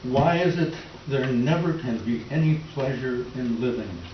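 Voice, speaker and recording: A man's voice: mid-range voice, expressive, unhurried, close to an everyday voice. A man playing a worn-out, despairing Depression-era tenant farmer in a plaintive staged reading with rural folk phrasing. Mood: weary, despairing. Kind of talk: readers theater dramatic monologue. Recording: distant mic, echoey room, faint noise, dull.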